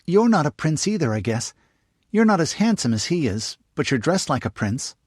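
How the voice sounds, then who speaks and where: A man's voice: husky, dry recording, studio quality. Man doing a blunt eager little girl. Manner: excited, high and quick. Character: eager nosy young girl, gleefully blunt.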